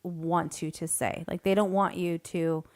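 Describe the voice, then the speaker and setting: A woman's voice: on the low side, little pitch movement, measured pace, darkish tone. A woman co-hosting a podcast in casual chat, chatty, laughing between words and hedging with fillers. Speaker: podcast co-host.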